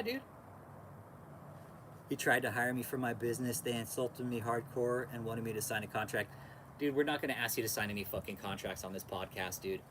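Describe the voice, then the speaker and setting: A man's voice: medium pitch, a bit bright, slight background noise. An American man hosting a casual livestream, chatting with viewers in slangy, repetitive bro-talk with plenty of swearing. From California, United States. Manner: laid-back slangy bro-talk, repeats phrases, swears freely. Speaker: podcast and livestream host.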